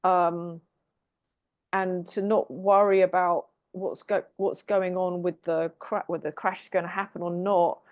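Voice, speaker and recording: A woman's voice: fairly low pitch, level tone, measured pace, darkish tone, no room sound. A woman on a tarot panel over a video call, chatty and reassuring, often repeating phrases as she talks. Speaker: tarot reader co-host. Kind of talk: casual spiritual panel chat.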